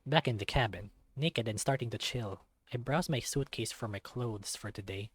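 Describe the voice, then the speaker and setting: A man's voice: mid-range voice, normal speed, husky, no room sound, natural voice. Wry young otter voiced by a man, dry sound. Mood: wry, matter-of-fact. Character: self-deprecating young male otter, college dropout. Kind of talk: first-person visual novel narration.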